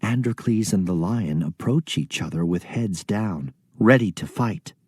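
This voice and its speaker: A man's voice: lowish voice, lively intonation, a little slow, darkish tone, slightly rough, no room sound. A man narrating a scripted children's play, reading out stage directions and story.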